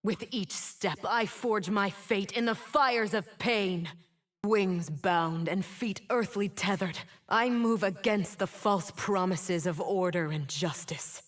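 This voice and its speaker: Woman playing a slow, brooding fallen angel. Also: mid-range voice, lightly acted. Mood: bitter, solemn. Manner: slow, solemn, theatrical delivery. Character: bitter, wounded fallen winged woman, brooding and defiant. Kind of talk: dramatic video game champion voice lines.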